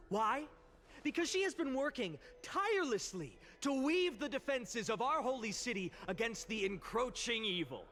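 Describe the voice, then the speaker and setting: A man's voice: very high voice, bright, forceful tone, heavily acted. A man playing an impassioned young orator in a video game, addressing an assembly with emphatic, eloquent, theatrical rhetoric. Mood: fervent, pleading. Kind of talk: dramatic theatrical game voice acting, public oration.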